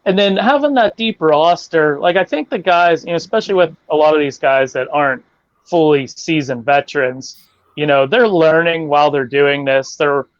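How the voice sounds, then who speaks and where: A man with a fairly high voice gives blunt takes on a casual podcast, swearing now and then. The sound is dry and a little muffled, as if the audio was cut down. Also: moderate pace, no echo. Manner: animated, opinionated, swears casually. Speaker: podcast co-host. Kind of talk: casual wrestling chat.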